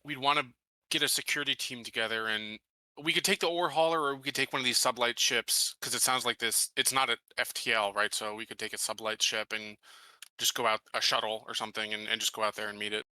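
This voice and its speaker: A man's voice: mid-range voice, fairly even intonation, quick pace, bright, forceful tone, dry recording, rough recording. A man playing a tabletop game, thinking aloud hesitantly with many "like" and "um". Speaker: player.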